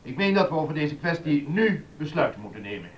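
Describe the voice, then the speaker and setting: A man's voice: a little high, big pitch swings, brisk. A distant, formal council chairman. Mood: formal, grave. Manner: formal, orderly, declamatory. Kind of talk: Dutch docudrama acting. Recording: dull sound, distant from microphone.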